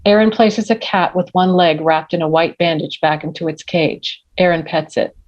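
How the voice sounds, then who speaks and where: Woman reading stage directions, slightly low voice, bit muffled lo-fi sound. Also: steady tone, normal speed. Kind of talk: scripted screenplay narration. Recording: slightly dull, lo-fi audio.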